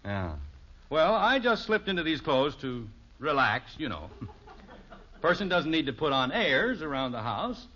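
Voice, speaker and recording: A man's voice: a little high, very animated, unhurried, pushed and bright, heavily acted. An actor playing a flustered, wordy, well-meaning middle-aged suburban father in a 1950s radio sitcom, with an American accent and a hesitant, mock-formal manner. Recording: muffled, noisy old radio recording.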